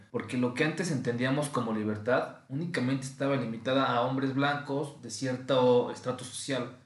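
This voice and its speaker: A man's voice: medium pitch, echoey room. A man with a Mexican Spanish accent, hosting a podcast and giving a reflective philosophical monologue, posing rhetorical questions and answering them himself. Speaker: podcast host.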